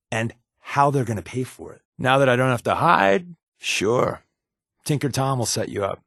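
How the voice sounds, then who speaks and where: An actor playing a wry, guarded undercover resistance agent in a video game, conversational and confiding, with a slightly husky voice. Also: male voice, mid-range voice, no echo, clean studio sound, full character voice. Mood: wry, easygoing. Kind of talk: naturalistic video game companion dialogue.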